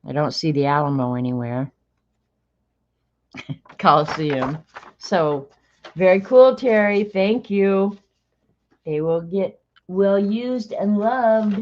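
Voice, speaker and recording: A woman's voice: medium pitch, slow, long pauses, a little mellow, slight background noise. A woman in her sixties chatting casually on a livestream as she opens mail, thinking aloud and laughing often. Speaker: streamer opening mail on stream. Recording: slightly dull lo-fi stream audio.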